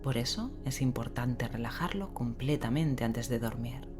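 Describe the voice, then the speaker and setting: A woman leading a guided sleep meditation in a gentle, unhurried way with long pauses, giving slow, soothing instructions. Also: a bass voice, lively intonation. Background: relaxing rain sound and soft music.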